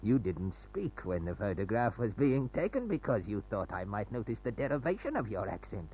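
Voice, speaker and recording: A creepy, doddering spy in an old radio thriller, his very low voice swooping about as he fusses and repeats himself with menacing courtesy. The recording is muffled and noisy, with a hum. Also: very animated, darkish tone. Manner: stammering, repetitive, fussy, drawn-out phrasing. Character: sinister, doddering male foreign spy, outwardly polite.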